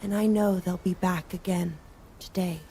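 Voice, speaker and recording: An actress playing a wistful, solitary woman in a video game, narrating reflectively with measured pauses. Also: on the low side, steady tone, warm tone, a little hiss or hum, natural voice. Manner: measured, pausing between phrases. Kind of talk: slow, reflective scripted narration.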